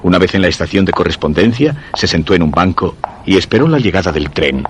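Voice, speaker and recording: An actor with a Spain accent playing a shy, obsessed man who tells his own suspense story in measured, literary radio-drama narration. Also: low voice, lively intonation, background noise. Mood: measured, brooding. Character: Guillermo, shy obsessed man secretly following a young woman.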